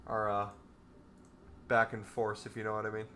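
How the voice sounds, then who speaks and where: A man's voice: fairly low pitch, monotone, normal speed, pushed and bright, soft background music. A young man in casual live-stream commentary, laid-back and talking to his chat from a small room. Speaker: trading streamer. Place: small room indoors, gaming chair.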